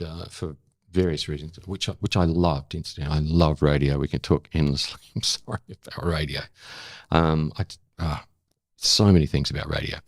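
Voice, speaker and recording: Seventy-year-old Australian male, extremely low and creaky voice, reflective interview guest, close dry sound. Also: a little mellow, dry recording. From South Australia. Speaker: interview guest, former broadcaster.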